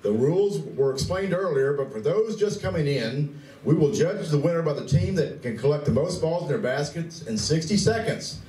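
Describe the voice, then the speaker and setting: A man's voice: a little high, expressive, moderate pace, dark tone, close to an everyday voice. A man playing a cheerful game coordinator in a radio play, announcing and giving play-by-play. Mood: upbeat announcing. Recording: distant, echoey room with faint noise.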